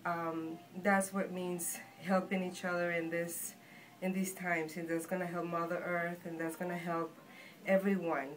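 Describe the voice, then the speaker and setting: A woman from Guatemala with a Guatemalan Spanish accent, giving an informal spiritual talk in an earnest, reassuring, halting manner. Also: on the low side, flat delivery, slight background noise, rough recording. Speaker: shaman and healer, guest. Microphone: phone handed over.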